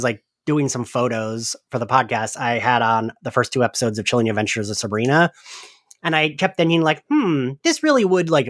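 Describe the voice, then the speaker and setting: A man's voice: medium pitch, no room sound, studio quality. A man hosting a podcast in a casual recap discussion, chatty and hedging, often saying "like". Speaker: podcast host.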